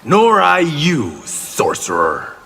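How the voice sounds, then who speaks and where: An actor playing a stern, hostile warrior defending his realm in a video game, blunt and forceful with a rough, raspy voice. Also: on the high side, wildly swooping pitch, takes it slowly, soft background music, exaggerated voice. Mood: grim contempt. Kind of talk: video game combat banter. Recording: echoing hall reverb.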